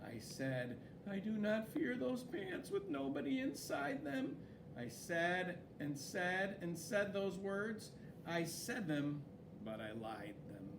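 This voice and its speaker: A man reading a children's story aloud in an expressive, dramatic way, with swooping emphasis. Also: high-pitched, slow pace, vocal fry, noisy background. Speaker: story reader.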